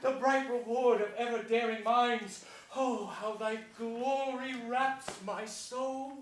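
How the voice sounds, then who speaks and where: Slow, high-pitched scheming royal usurper. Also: male voice, a bit bright, slight background noise, full character voice. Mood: gloating ambition. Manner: theatrical, slow, emphatic. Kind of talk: declamatory Shakespearean stage acting. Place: theatre stage before an audience. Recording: echoey theatre stage.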